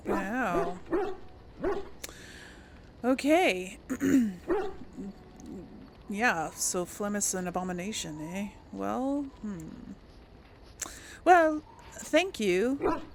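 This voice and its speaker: A woman's voice: medium pitch, animated, extremely slow, long pauses, a little hiss or hum. A woman doing casual let's-play commentary, musing aloud and replying in role to game characters. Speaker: gaming host role-playing through a game.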